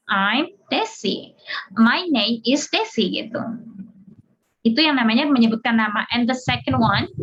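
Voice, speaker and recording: A woman's voice: high-pitched, fairly bright tone. A woman with an Indonesian accent teaching English grammar informally, explaining and repeating example sentences. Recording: dull audio with clear background noise.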